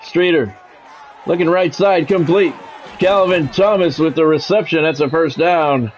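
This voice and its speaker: A middle-aged American man with a slightly high voice commentates on a football game in a practiced radio-announcer manner. He gives short, clipped calls and slips into casual chat with his viewers. Some noise and ambience sit behind his voice. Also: a little slow, some chatter behind. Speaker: livestream host and sports play-by-play announcer.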